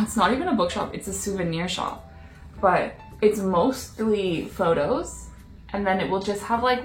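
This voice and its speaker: A woman's voice: mid-range voice, gravelly. Chatty woman vlogging in an echoey room. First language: English. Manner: chatty, self-deprecating, laughs now and then. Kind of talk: casual talking-head vlog. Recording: roomy echo. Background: faint background music.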